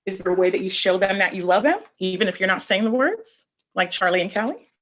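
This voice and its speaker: A woman reading a picture book aloud to children, explaining things simply with asides and questions in a slightly husky voice. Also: medium pitch, fast talker. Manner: expressive, explaining simply and addressing young listeners with questions. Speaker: read-aloud host for children.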